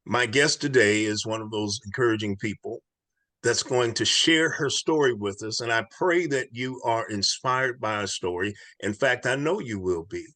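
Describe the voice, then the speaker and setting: A man's voice: mid-range voice, normal speed, pushed and bright, dry recording. A man hosting an inspirational podcast, speaking in an earnest, encouraging, preacher-like way. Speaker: podcast host.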